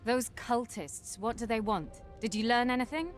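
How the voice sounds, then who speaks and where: A woman's voice: a little high, normal speed, soft background music, lightly acted. An actress playing a sceptical, defiant female warrior in a fantasy game, with a direct, questioning manner. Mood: probing. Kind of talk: dramatic fantasy game acting.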